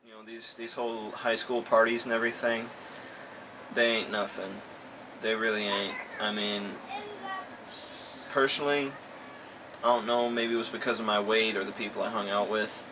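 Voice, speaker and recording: Young man, lowish voice, blunt sarcastic ranter, noisy recording. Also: level tone, moderate pace, long pauses. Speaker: co-host. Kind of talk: casual chat, ranting.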